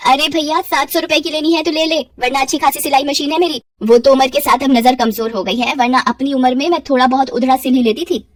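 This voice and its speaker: A woman's voice: high voice, fairly even intonation, a little fast, few pauses, clean studio sound, close to an everyday voice. A woman voicing a kindly, motherly, talkative elderly neighbour in a cartoon story. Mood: indignant. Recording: roomy echo, distant from mic.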